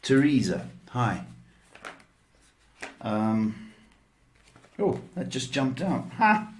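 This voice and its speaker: Slow, tired-sounding man reading tarot. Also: medium pitch, big pitch swings, slight background noise. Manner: slow, drawn-out, playful with pet names. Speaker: psychic tarot reader hosting a livestream. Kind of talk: casual livestream reading.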